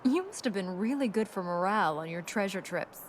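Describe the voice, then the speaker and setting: Blunt young princess, mid-pitched played by an actress. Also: female voice, lively intonation, a little fast, slight background noise, natural voice. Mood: stern, dry. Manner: earnest, dry, blunt. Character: serious, sharp-tongued, determined young princess. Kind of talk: video game voice acting.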